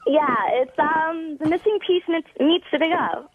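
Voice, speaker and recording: A woman's voice: sits high, unhurried, no room sound. A woman calling in to a radio show, giggly, flustered and defensive. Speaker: caller. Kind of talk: call-in radio banter. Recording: tinny phone line.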